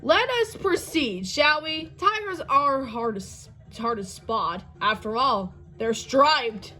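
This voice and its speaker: A woman's voice: sits high, expressive, slow pace, pushed and bright, soft background music, heavily acted. A woman voicing a showy, cheerful male tour-boat skipper in a storybook, theatrical and full of puns. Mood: jokey. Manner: theatrical, punning announcer delivery.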